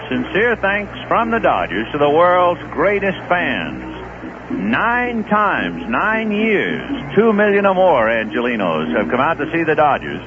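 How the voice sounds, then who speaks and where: A man doing live play-by-play baseball commentary on the radio, his voice climbing with the big plays. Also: a little high. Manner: lively, rising with big plays. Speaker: play-by-play announcer. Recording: tinny, noisy 1970s radio broadcast.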